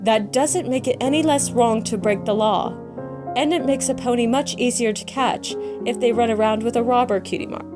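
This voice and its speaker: A woman voicing a kindly, patient female pony schoolteacher with a gentle manner in a cartoon fan audio drama. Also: fairly high pitch, moderate pace, close to an everyday voice. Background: music.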